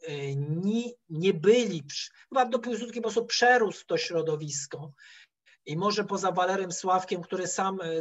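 A middle-aged man giving a history lecture over a video call, telling the story haltingly with digressions and repeated words. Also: fairly high pitch. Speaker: history professor, guest lecturer. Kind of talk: spoken history lecture with slides. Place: indoor room, video call. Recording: dull, lo-fi online call audio.

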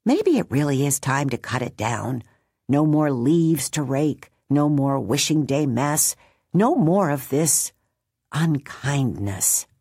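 A woman's voice: sits low, expressive, takes it slowly, mellow, slightly rough, dry recording, clean studio sound, heavily acted. A woman voicing a stern, tired older woman who speaks bluntly. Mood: weary.

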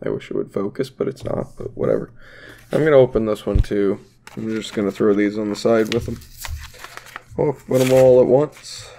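A man's voice: on the low side, sing-song, moderate pace, gravelly, warm tone. A young man with a stuffy voice from a cold, chatting casually and rambling as he opens trading card packs at his desk. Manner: rambling, filler-heavy, laid-back. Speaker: YouTube card-opening vlogger. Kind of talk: casual unboxing chat to viewers. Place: home desk, indoors.

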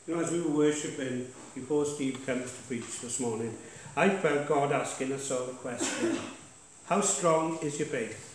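A man's voice: medium pitch, normal speed, slight background noise. A man leading worship in a church, speaking emphatically and putting questions to the congregation. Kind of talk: sermon exhortation. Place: church sanctuary. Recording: echoey church hall.

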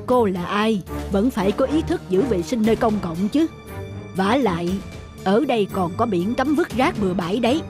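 An actor playing a modest older resort owner in a cartoon, speaking in a measured, firm, unassuming way. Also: male voice, high-pitched, animated. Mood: firm, reproving. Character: calm, modest older male resort owner picking up litter. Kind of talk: cartoon voice acting. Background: faint music.

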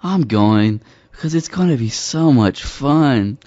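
Animated man reading a lesson dialogue. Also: medium pitch, slow pace, muffled recording. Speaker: dialogue reader. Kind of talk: scripted learner dialogue.

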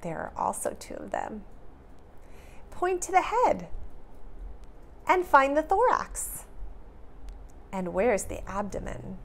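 A woman's voice: on the high side, very animated, slow pace, long pauses, a little hiss or hum. A woman preschool teacher giving a read-aloud lesson for young children, with careful, simple, questioning delivery.

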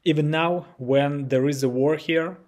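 A man's voice: on the high side, steady tone, measured pace, darkish tone. A man in his thirties with a Ukrainian accent giving a prepared video statement in a small room. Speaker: company CEO.

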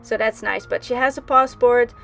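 A woman's voice: a little high, fairly even intonation, moderate pace, gravelly, fairly bright tone, soft background music. A young woman from the Netherlands giving casual voice-over narration for a sailing vlog, chatty, with frequent ums and restarts. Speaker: sailing vlogger.

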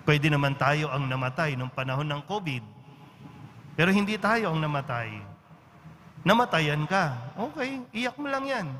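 Filipino priest in an echoing church. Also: male voice, a little high, sing-song, normal speed, pauses often, a bit bright. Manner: conversational, with frequent tag questions. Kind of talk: Sunday-style homily. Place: large church. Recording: church reverb with faint background noise.

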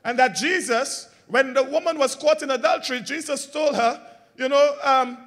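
A man preaching a sermon as pastor, asking the congregation call-and-response questions and swinging between loud emphatic lines and quiet low ones. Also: normal speed.

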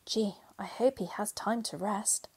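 A woman's voice: mid-range voice, a little slow, a little mellow, slightly rough. A woman playing a magical fairy spirit who narrates a pantomime radio play in theatrical rhyme. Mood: cheery, announcing. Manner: theatrical rhyming delivery.

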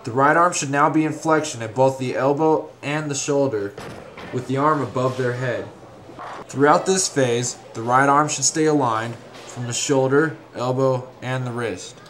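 A man reading a scripted instructional narration in a measured, formal, step-by-step way. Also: mid-range voice, fairly bright tone, slight background noise, poor audio.

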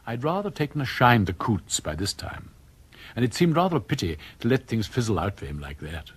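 Genial english narrator in a radio drama, husky, pitch moves a lot. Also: male voice, very animated, fairly quick. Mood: wry. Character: genial English storyteller narrating his own tale.

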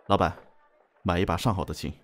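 A man's voice: sits low, fairly even intonation, fairly quick, no echo, close to an everyday voice. A man playing a cold, menacing general of ancient China in a costume radio drama, commanding and taunting. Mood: curt, cold.

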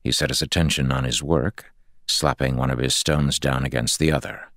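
Very deep, gravelly male audiobook narrator. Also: steady tone, moderate pace, a bit bright, no echo, studio quality. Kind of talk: scripted fantasy fiction narration.

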